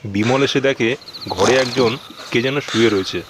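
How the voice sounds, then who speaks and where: A man narrates a scripted ghost story at a medium pitch. The recording has clear background noise and ambience. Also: normal speed.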